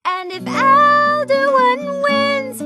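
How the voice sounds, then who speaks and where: Young female bard, very high singing voice, heroic fantasy ballads over prominent plucked strings. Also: very slow, full character voice. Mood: stirring, mournful. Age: young adult. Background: plucked guitar-like string accompaniment.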